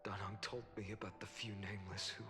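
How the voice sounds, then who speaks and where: A man voicing a noble young leader in a video game speaks in a low, sincere, measured voice. A long reverb trails his words, and clear music plays underneath. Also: level tone, lightly acted. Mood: heartfelt. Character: earnest young male leader, noble and devoted.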